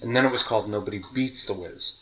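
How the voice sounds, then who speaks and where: A man's voice: on the low side, quick pace, bright, forceful tone, poor audio. A middle-aged man hosting a podcast, reminiscing casually in detail and correcting himself as he goes. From New York City. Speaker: podcast host.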